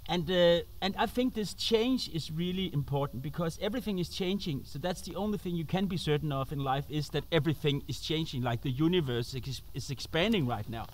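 A Danish man with a high voice addresses his viewers informally. His English is accented and halting, as he searches for words while presenting outdoors. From Denmark. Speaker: host and musician. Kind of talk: informal talk to camera. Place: outdoors on an open roadside.

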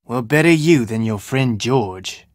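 An actor playing a gruff, wary Australian fugitive in a video game, with brusque, slangy talk. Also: male voice, medium pitch, lively intonation, measured pace, dry recording, clean studio sound, natural voice. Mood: irritated, dismissive. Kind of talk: video game character dialogue.

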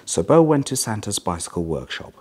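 A middle-aged man with a very low, rough-edged voice reads a children's story. His delivery is measured and expressive, with big swings of pitch from phrase to phrase. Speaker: hospital chief executive reading a children's story. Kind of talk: scripted storybook reading.

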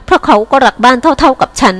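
A woman's voice: fast, fairly bright tone, natural voice. A woman reading aloud and voicing an anxious, scheming woman devoted to her young son, in a pleading, urgent way.

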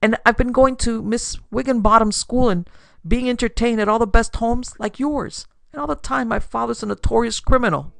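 A narrator voicing a distressed, earnest young woman with a halting, pleading manner in an audiobook story. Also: mid-range voice, steady tone, vocal fry, no room sound, voice pushed up, natural voice.

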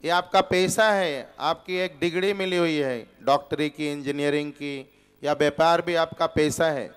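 Slow, clear-voiced preacher man. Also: fairly high pitch, fairly even intonation. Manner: slow, deliberate teaching with rhetorical questions. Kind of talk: Gita discourse. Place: temple hall with seated audience, indoors. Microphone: stand microphone.

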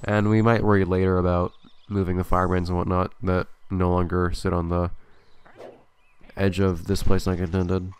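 A man's voice: sits low, level tone, moderate pace, a little mellow, smooth. A man commentating a gameplay video casually, thinking aloud with a slightly nasal, stuffy voice. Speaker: let's play host.

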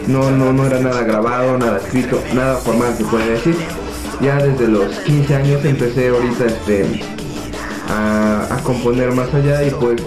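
A sixteen-year-old Mexican boy from Puebla, talking softly and hesitantly into a webcam in a small office, with many fillers. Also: mid-range voice, fairly even intonation, normal speed. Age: teens. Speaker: young reggaeton artist. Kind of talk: self-interview to webcam. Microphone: webcam at desk. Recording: echoey room with music behind.

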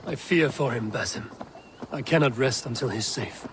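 A mid-pitched male voice , performed by an actor, as a brooding young Norse warrior in a video game. He is blunt, earnest and solemn, with clear outdoor noise around him. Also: moderate pace, natural voice. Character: brooding, loyal Norse raider, blunt and determined. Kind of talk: dramatic video game acting.

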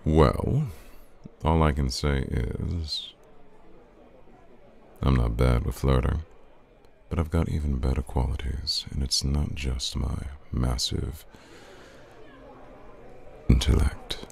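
Very deep, suave flirtatious man played by an actor. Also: slow, pauses often, darkish tone, full character voice. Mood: flirtatious, playful.

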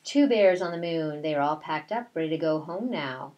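A middle-aged woman, a kindergarten teacher, reading a picture book aloud in a deliberate, expressive way with asides to young children. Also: deep voice, highs cut off, slight background noise. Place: small bedroom at home, indoors.

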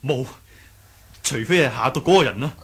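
An actor playing an earnest, hot-headed young male martial arts sect leader in a radio drama, with a husky voice. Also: mid-range voice, animated, moderate pace, a bit bright. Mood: alarmed, remorseful. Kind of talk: Cantonese radio drama acting.

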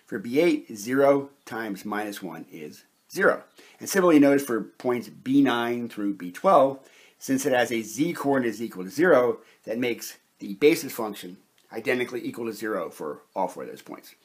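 A man's voice: medium pitch, lively intonation, normal speed, husky. A man narrating a methodical, step-by-step whiteboard lesson as a science lecturer, explaining each point in turn. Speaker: chemistry lecturer.

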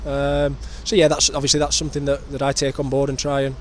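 A man in his thirties, a professional footballer giving measured answers in a post-match interview. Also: mid-range voice, monotone. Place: outdoors outside a stadium shop. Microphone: handheld interview microphone. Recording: noisy outdoor street recording.

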